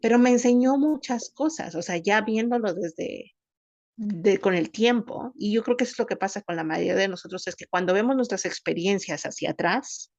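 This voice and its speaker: A woman, co-hosting a podcast and speaking thoughtfully in halting phrases. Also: mid-range voice, darkish tone, no room sound, lo-fi sound. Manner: thoughtful, halting, many restarts. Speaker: podcast co-host. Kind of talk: casual conversational podcast.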